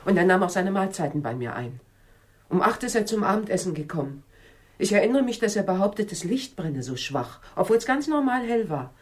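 A woman's voice: mid-range voice, big pitch swings, fairly quick, lightly acted. An actress playing a matter-of-fact landlady who gives her account plainly in a 1960s German radio crime drama. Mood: sober, factual.

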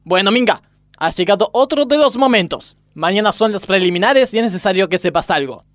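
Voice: medium pitch, animated, a little fast, no echo, studio quality, natural voice. An actor playing an exasperated male gymnastics coach in a parody radio play, instructing with melodramatic solemnity. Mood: mock-solemn.

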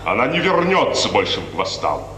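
Proud, fiery old colonel, formal classical tragedy, echoing hall, noisy 1950s radio recording. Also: male voice, lowish voice, huge pitch swings, moderate pace. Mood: stiff, guarded. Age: older. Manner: theatrical, brooding, stiffly formal. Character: proud, hot-headed old colonel, wronged father.

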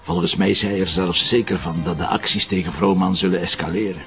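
An actor playing a gruff, stubborn, sardonic police inspector in an audio drama, with a Flemish accent. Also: male voice, deep voice, fairly even intonation, a little fast, sharp tone, a little hiss or hum. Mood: brooding. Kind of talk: naturalistic radio drama dialogue.

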